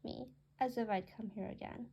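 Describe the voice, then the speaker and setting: A woman reading her own memoir aloud as a narrated story, with a husky, creaky voice. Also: mid-range voice, steady tone, normal speed, dull audio.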